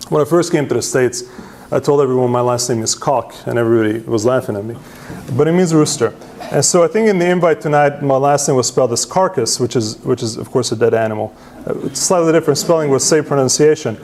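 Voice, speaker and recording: A man's voice: medium pitch, a little mellow, slight background noise. Man giving a meetup talk. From Serbia. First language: Hungarian. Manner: self-deprecating, frequent "right?" tags and restarts. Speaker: presenter at user group meetup. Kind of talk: conference talk with slides.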